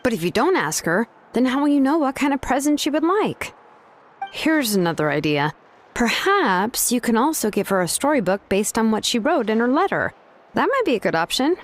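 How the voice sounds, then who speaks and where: An actress voicing an easygoing, wry young craftswoman in a game dub, talking in a relaxed, dryly teasing way over soft game music. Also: on the high side, normal speed. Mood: reasoning. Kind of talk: dubbed game dialogue.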